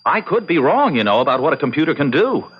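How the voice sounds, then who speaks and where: A man's voice: on the high side, sing-song, fast talker, slightly rough. An actor playing an intense, insistent young American man who tinkers with computers, earnest and emphatic, in an old-time radio drama. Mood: reassuring, brisk. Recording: muffled vintage radio sound, faint noise.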